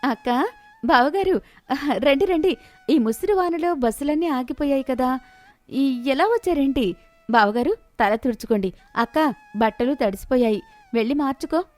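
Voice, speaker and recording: A woman voicing an overworked, sharp-tongued village wife in a storybook tale. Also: high voice, lively intonation, moderate pace, long pauses. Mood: welcoming. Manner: complaining, sarcastic.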